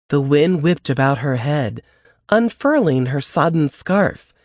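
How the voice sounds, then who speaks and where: A woman hosting a podcast who reads a novel excerpt aloud in a measured, expressive storytelling manner. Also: low-pitched, dry recording. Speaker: podcast host reading an excerpt. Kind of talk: scripted narration of a novel excerpt. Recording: dry, dull lo-fi podcast audio.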